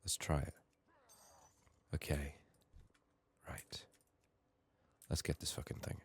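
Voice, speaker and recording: Man, very deep pitch, hushed and soft, occasional whispering, ASMR gaming commentary, muttered thinking aloud, faint background noise. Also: monotone, takes it slowly. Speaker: gaming video host. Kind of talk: soft-spoken ASMR game playthrough commentary.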